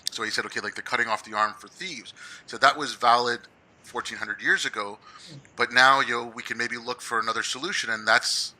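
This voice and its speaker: A man's voice: medium pitch, fairly even intonation, fairly quick, sharp tone, rough recording. A young man hosting a podcast, talking casually and peppering his speech with "like.". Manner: casual, says "like" and "yo" a lot. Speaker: podcast host.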